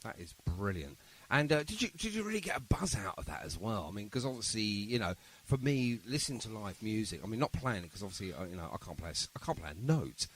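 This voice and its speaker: A man's voice: lowish voice, very animated, fast, hardly any pauses, a little hiss or hum. A man hosting a chatty radio interview in a jovial, encouraging manner. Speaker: radio show host.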